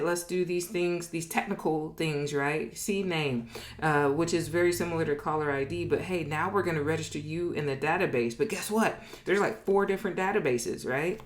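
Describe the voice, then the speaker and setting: A woman presenting an informal lesson in a conversational, emphatic way, acting out imagined quotes and often checking that listeners follow. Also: on the low side. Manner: conversational, emphatic, frequently checks in with "okay?" and "right?". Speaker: business educator, presenter. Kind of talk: informal explainer lesson at a board.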